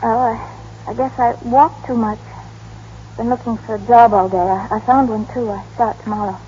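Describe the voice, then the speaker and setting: A woman's voice: on the high side, steady tone, moderate pace, dark tone, slight background noise, close to an everyday voice. A woman playing a sweet, modest young working woman in an old radio drama, speaking hesitantly and demurely. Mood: faint, apologetic. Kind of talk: 1930s-40s radio acting. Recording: muffled old radio broadcast.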